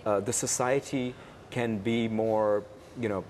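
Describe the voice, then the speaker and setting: A man from Pakistan giving interview answers as a producer, in a halting way with pauses and repetitions. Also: fairly low pitch, fairly even intonation, a little mellow. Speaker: interview guest, cartoon producer. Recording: faint background room noise.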